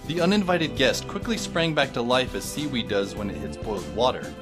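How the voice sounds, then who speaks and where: A man narrating an audio drama as a wry, deadpan teenage boy. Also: mid-range voice, fairly quick, over music, close to an everyday voice.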